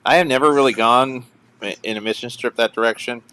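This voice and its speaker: A man's voice: mid-range voice, fairly quick, bright, forceful tone, clear, resonant tone, rough recording. A young man hosting a casual live music hangout stream, chatty and rambling, often chuckling and restarting sentences. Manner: rambling, chuckling, self-interrupting. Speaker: show host.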